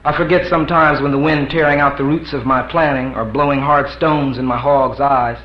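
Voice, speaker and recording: A man's voice: mid-range voice, fairly even intonation, moderate pace, close to an everyday voice. Man reading as a defiant freed man, drawling and sly; roomy, dull recording. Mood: mocking. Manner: mocking, drawling dialect.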